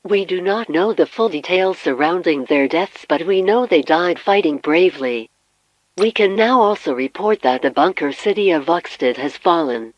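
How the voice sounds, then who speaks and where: Male actor as grave emergency-broadcast announcer for apocalypse survivors. Formal, measured read, dry and dull-sounding recording. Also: fairly high pitch, animated, no echo. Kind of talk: scripted emergency news broadcast. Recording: dull, muffled lo-fi broadcast audio.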